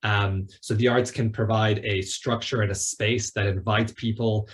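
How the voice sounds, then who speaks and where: Lowish-voiced man talking academically. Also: level tone, normal speed. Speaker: academic panelist. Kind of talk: semi-prepared academic talk. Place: online video call.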